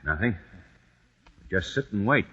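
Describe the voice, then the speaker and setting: A gruff take-charge man. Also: on the low side, expressive, unhurried, sharp tone. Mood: tense. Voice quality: gruff, commanding. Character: tough, level-headed trapped man taking charge. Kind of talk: 1940s radio acting. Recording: dull old radio recording.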